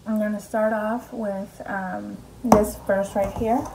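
A woman's voice: medium pitch, steady tone, slow pace, creaky, very noisy. A young woman talks straight into a close camera in her bedroom, chatty and upbeat. Her voice sits mid-range, easy and unforced, with frequent ums and restarts. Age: young adult. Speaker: mom vlogger. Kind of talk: casual vlog chat. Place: bedroom at home, indoors. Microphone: camera in front at close range.

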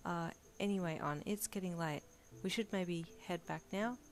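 A woman voicing a gentle, shy young male swordsman with a soft, hesitant way of talking, in a reader-insert story. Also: lowish voice, normal speed, soft background music, natural voice.

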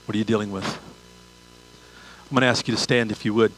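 A man's voice: on the low side, fairly even intonation, quick pace, a bit bright, a little hiss or hum, low-quality recording. An older man preaches as a pastor, talking conversationally and repeating phrases and rhetorical questions for emphasis. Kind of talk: sermon. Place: large church auditorium stage.

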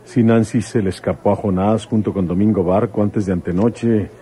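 A man acting a rural Mexican detective in a radio soap. His voice is low and soft-edged. He talks in a folksy, coaxing, flirtatious way with small hesitations. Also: steady tone, moderate pace, close to an everyday voice. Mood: chatty, aggrieved.

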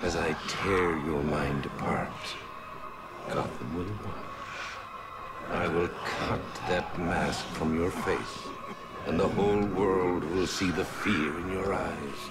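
An actor playing a menacing, coldly sinister villain in a video game, speaking in ominous, deliberate monologue. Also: male voice, low voice, steady tone, heavy background noise. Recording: loud music over the voice.

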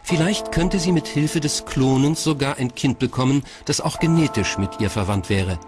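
A man's voice: medium pitch, normal speed, music in the background. A man reading scripted documentary narration as a narrator.

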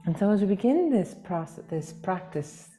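A woman leading a guided meditation as a yoga teacher, speaking gently and soothingly with long pauses, unhurried. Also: medium pitch, sing-song.

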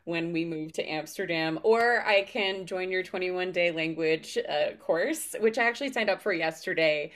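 A woman's voice: lowish voice, normal speed, few pauses. Bubbly young woman podcast host. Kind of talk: casual interview chat. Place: home room, video call.

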